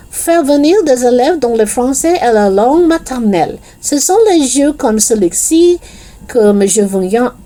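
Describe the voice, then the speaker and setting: A woman narrator voicing an excitable, easily wounded female schoolteacher in an audiobook, theatrical in manner. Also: fairly high pitch, voice pushed up. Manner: declaiming, gushing.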